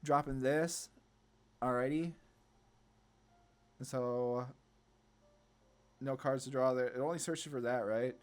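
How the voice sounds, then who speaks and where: A man's voice: mid-range voice, fairly even intonation, takes it slowly, pauses often. A man giving casual card game commentary, thinking aloud and often questioning his own choices as he goes. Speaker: gameplay video host. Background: background music.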